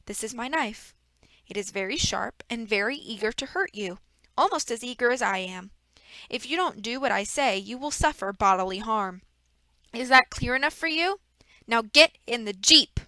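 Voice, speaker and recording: A woman's voice: fairly high pitch, animated, moderate pace, pushed and bright, no echo. A woman voicing a scheming, sneering grown man who taunts and threatens in a snarling, sarcastic way. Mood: menacing. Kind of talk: audiobook character reading.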